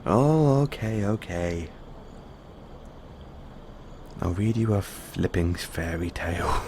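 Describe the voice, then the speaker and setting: Casting: affectionate, teasing boyfriend, acted by a man, lowish voice, unhurried, for intimate bedtime roleplay. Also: animated, darkish tone, a little hiss or hum. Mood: playful teasing.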